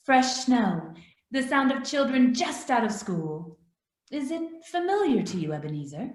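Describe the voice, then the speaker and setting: A woman playing a calm, probing spirit guide in a radio play, speaking in a measured, solemn, gently questioning way. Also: fairly high pitch. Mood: calm, knowing. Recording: roomy, echoing voice.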